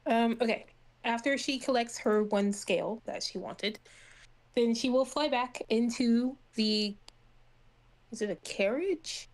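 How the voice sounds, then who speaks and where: A woman's voice: a little high, a little slow. A woman playing a tabletop game, casually and playfully narrating her character's actions.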